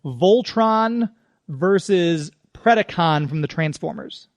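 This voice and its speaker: A man's voice: on the high side, expressive, slow, dry recording. A man hosting a radio show in a chatty, informal, off-the-cuff way, moving between casual talk and sponsor reads.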